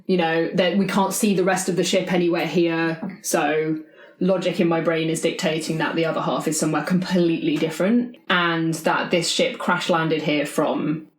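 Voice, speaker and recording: A woman with a slightly low voice plays a tabletop roleplaying game. She talks casually through what her character does and thinks. The sound is clearly echoey, as in a live room. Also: level tone, normal speed.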